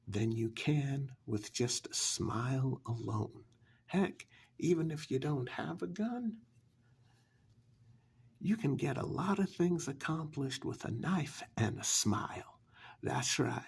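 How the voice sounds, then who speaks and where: Guy doing a sleazy infomercial money guru, very breathy n raspy, slow, smug, kinda menacing asmr. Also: mid-range voice, full character voice. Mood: calm, sly menace. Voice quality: husky, breathy, gravelly. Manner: halting, smug, casually menacing, sweary. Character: sleazy, sinister get-rich-quick program pitchman. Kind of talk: soft-spoken ASMR parody infomercial.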